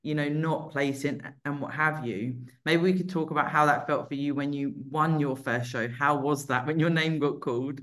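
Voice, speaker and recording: A podcast host chatting casually with a guest, loose and relaxed, with the odd swear word. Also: medium pitch, moderate pace, few pauses. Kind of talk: casual conversational interview.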